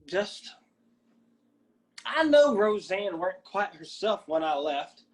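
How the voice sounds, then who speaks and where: A man's voice: high-pitched, sing-song, slow, heavily acted. A man playing a troubled, hesitant young man in a radio drama. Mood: uneasy.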